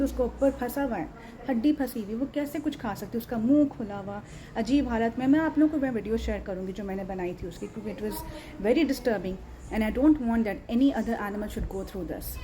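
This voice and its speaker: A woman's voice: on the high side, mellow. A woman with a Pakistani accent talking earnestly and emphatically to viewers in a casual vlog, repeating phrases and mixing in English words. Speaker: animal rescuer and vlogger. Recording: muted tone with background noise. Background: ambient background noise.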